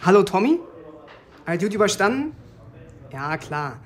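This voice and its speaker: Higher-voiced, offhand police detective. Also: male voice, very animated, normal speed. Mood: businesslike. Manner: offhand, colloquial. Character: brisk, informal, dogged police detective. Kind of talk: naturalistic radio drama dialogue.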